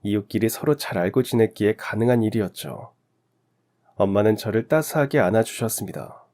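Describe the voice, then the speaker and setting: Low-voiced male story narrator. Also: a little fast, a little mellow. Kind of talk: scripted first-person radio story narration.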